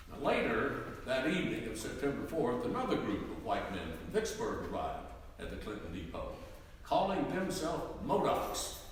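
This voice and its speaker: A man narrating scripted history for a dramatic reading. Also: fairly high pitch. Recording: very echoey hall, distant mic, faint noise.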